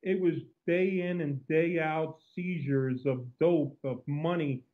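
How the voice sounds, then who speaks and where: A man's voice: a little high, fairly even intonation, slow pace. Cuban-accented man on a tinny line. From Cuba. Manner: outgoing, storytelling, frequent restarts. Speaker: guest, former undercover agent. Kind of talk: interview conversation.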